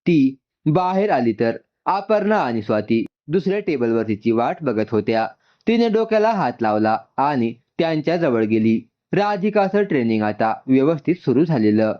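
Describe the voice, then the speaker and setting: A male storyteller with a lively, swinging pitch reads a scripted tale. His voice sits in a middle register. The recording is a little dull and muffled. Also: moderate pace. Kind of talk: scripted story narration with dialogue.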